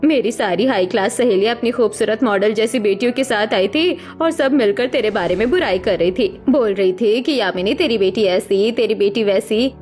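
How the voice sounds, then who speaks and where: A woman's voice: a little high, darkish tone, natural voice. A woman voicing a harsh, status-obsessed middle-aged mother in a cartoon story, nagging with dramatic scorn. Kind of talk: Hindi cartoon story voice acting. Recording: music behind the voice.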